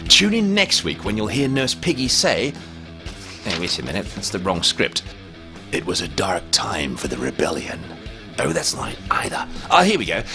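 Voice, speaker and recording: A man as a forceful low mock-epic announcer. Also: gravelly, heavily acted. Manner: mock-dramatic, wry. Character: mock-epic male radio serial announcer, wry and dramatic. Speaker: narrator. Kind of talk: scripted comedy narration.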